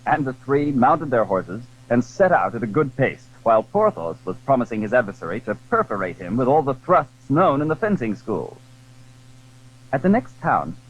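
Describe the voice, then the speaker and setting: A man's voice: medium pitch, slightly rough, lo-fi sound. A man narrating a classic adventure novel in dramatic, scripted storytelling style.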